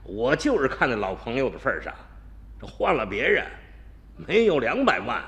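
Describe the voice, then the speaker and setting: A man's voice: medium pitch, wildly swooping pitch, moderate pace, a bit bright. An actor playing a sly, confident male tomb-raider gang boss haggling over a stolen relic in a radio drama. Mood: scheming.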